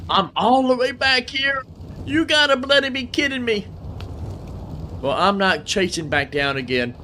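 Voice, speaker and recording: A man's voice: very high-pitched, lively intonation, measured pace, bright, forceful tone, a little hiss or hum. A man giving casual live gameplay commentary as a streamer, rambling and thinking aloud. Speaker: game streamer, host. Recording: game music behind the voice. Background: game music.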